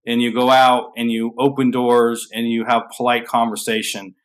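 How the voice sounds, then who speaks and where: A man hosting a casual panel on a video call, talking in a flat, even way with many fillers and restarts. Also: medium pitch, moderate pace. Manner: self-described monotone, filler-heavy, restarts. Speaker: host.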